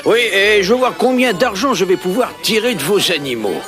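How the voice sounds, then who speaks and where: A man's voice: sits high, expressive, moderate pace, music in the background. A man voicing a greedy, shifty businessman villain in a French-dubbed cartoon. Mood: scheming.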